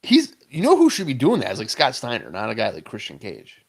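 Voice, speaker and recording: Gravelly, animated podcast man. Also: medium pitch, fairly quick. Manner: excitable, jokey reactions with swearing. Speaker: podcast co-host. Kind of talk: casual wrestling commentary chat.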